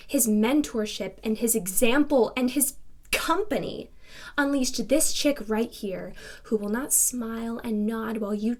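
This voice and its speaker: An actress playing an indignant young woman in a contemporary dramatic monologue, speaking in an emphatic, confrontational and articulate way. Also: on the high side. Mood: defiant, heated. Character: defiant, indignant twenty-two-year-old woman confronting a reporter.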